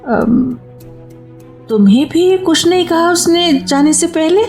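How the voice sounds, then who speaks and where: An actress playing an innocent, puzzled young wife in a radio play, hesitant and questioning. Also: female voice, extremely high pitch, expressive, slow, a little mellow, full character voice. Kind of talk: Hindi radio play acting. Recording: faint music under a radio play.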